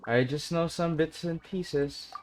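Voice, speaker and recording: A woman's voice: low voice, takes it slowly, a little mellow, a little hiss or hum. A woman giving short, casual reactions and commentary while playing through game dialogue. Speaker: gamer, streamer. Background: game music.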